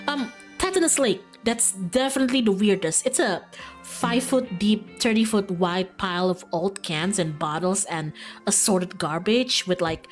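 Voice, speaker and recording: A woman acts out an upbeat young small-town woman in a visual novel. She rambles breezily and eagerly, with lively swings in pitch. Light music sits behind the voice. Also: lightly acted.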